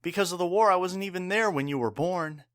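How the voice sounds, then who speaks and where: A man playing a caring, gruff bartender father and war veteran in an audio drama, earnest, wry and plain-spoken. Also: high-pitched, fast, a bit bright, no echo, clean studio sound. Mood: tender, worried.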